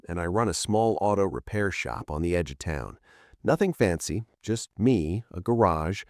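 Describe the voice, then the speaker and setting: Deep male storyteller, scripted first person story, dramatic short phrases, very dry sound. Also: gravelly, a little mellow, dry recording.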